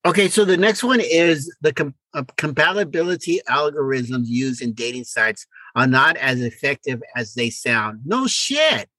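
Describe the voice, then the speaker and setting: Bright, forceful-voiced man chatting casually. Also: on the high side, expressive, normal speed, few pauses, dry recording, rough recording. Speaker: podcast co-host. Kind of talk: casual conversational chat.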